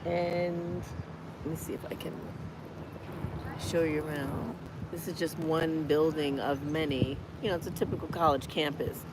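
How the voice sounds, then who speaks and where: Hoarse woman in her 50s encouraging outdoors. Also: deep voice, fairly even intonation, measured pace. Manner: encouraging, chatty, preacher-like exhortation. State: hoarse from a cold. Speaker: motivational vlogger. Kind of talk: live-streamed walking vlog. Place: outdoors on a college campus. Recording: noisy outdoor street ambience.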